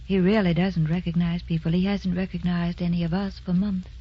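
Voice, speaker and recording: An actress playing a kindly, protective middle-aged mother, measured and solicitous, in a sentimental radio drama. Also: on the low side, level tone, husky. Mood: concerned, guarded. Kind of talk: sentimental old-fashioned radio drama acting. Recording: muffled old radio recording with music.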